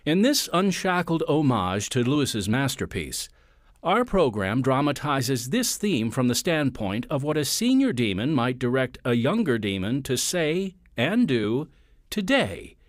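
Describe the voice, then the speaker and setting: Animated male radio announcer. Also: medium pitch, unhurried, dry recording. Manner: polished, emphatic announcer delivery. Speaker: announcer and narrator. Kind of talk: scripted radio drama narration. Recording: music under the voice.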